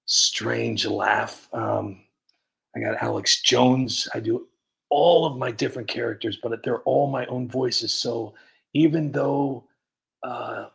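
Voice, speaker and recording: A man's voice: mid-range voice, vocal fry, a bit bright, poor audio. A man hosting a comedy livestream, chatting casually and rambling with lots of fillers and restarts. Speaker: comedy channel host.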